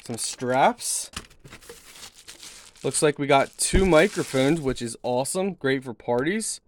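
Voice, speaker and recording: A man's voice: mid-range voice, lively intonation, slow. A man giving a casual product unboxing and demo in an easygoing, approving, informal way. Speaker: product reviewer. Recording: noticeable background noise with handling clicks.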